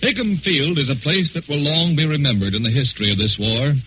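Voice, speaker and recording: A man's voice: fairly high pitch, fairly quick. A male announcer reading a scripted introduction in 1940s radio style on a muffled old recording with faint noise.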